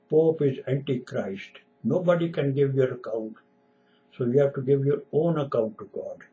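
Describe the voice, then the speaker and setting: A man's voice: medium pitch, dark tone, dull audio. An older man whose first language is Punjabi, preaching emphatically and repetitively in a sermon recorded at home on a webcam. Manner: emphatic, repetitive, gestures with hands. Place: room at home, window behind.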